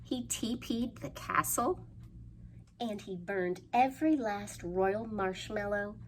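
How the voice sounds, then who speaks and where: A woman presenting a children's storytime, reading aloud in a sing-song, questioning way with playful pauses and hushed asides. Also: medium pitch. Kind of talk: animated read-aloud for young children. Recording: faint background noise.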